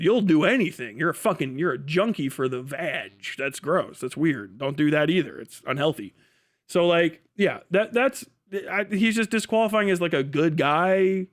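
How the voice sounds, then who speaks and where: A man giving casual, opinionated political commentary as a livestream host, blunt and full of swearing, rambling and restarting mid-thought. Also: fairly high pitch.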